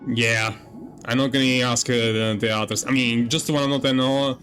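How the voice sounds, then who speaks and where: A man giving casual playthrough commentary as a gaming streamer, musing in a halting, repetitive way. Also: mid-range voice, steady tone, a bit bright. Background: game music.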